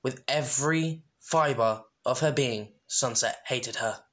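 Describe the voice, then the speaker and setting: Slow-paced amateur story reader, medium pitch. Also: fairly bright tone. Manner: informal, self-deprecating. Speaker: amateur fan fiction reader. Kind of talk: story narration with casual asides.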